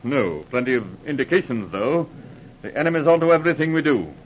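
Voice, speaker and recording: Gruff army colonel played by a man. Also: medium pitch, sing-song, a little fast, natural voice. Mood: worried, emphatic. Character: gruff, worried male army colonel in the trenches. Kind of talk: 1940s radio acting. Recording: muffled, noisy old radio recording.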